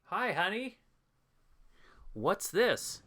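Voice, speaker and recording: A man's voice: high voice, very animated, very slow, sharp tone, soft background music, heavily acted. A man playing a mild, naive, chatty husband in a comedic audio drama. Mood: pleasantly surprised. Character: mild, oblivious husband, easily pleased, henpecked.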